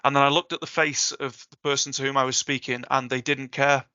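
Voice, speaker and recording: A man's voice: mid-range voice, little pitch movement, a little fast, bright, forceful tone, no echo, muffled recording. A man speaking as a guest tutor in a casual question session, enthusiastic, jokey and reassuring. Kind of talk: casual Q&A chat.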